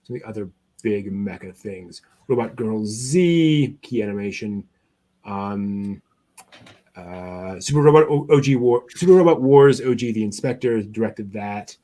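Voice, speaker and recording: A man's voice: fairly low pitch, expressive, mellow. Man casually presenting anime news. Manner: halting delivery, searching through notes aloud. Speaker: co-host of an anime news show.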